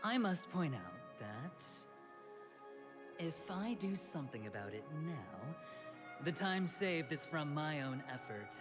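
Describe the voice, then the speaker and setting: An actor playing a flamboyant, smug, silver-tongued executive gambler in a video game, with a suave, mocking delivery. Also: male voice, fairly high pitch, sing-song, slow pace. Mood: amused, calculating. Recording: game music behind the voice.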